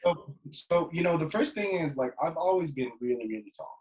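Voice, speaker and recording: A man in his twenties from Jordan, a basketball player chatting casually as an interview guest over a webcam call from his bedroom, relaxed and rambling with lots of fillers and restarts. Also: on the high side, a little fast, poor audio. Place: bedroom at home, indoors. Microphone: webcam video call.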